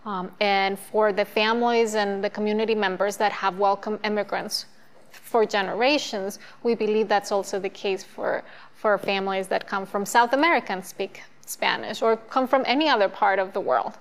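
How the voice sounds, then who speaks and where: A woman from Colombia speaks in a community TV interview about her volunteer work. Her voice is smooth and clear, and her delivery is earnest and measured. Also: medium pitch. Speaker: community organization board member.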